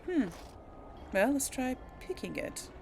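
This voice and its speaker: A woman's voice: sits high, sing-song, slow, vocal fry, a little mellow, a little hiss or hum. A woman giving casual game commentary as a let's play host, chatting and thinking aloud. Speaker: let's play host, role-playing a character.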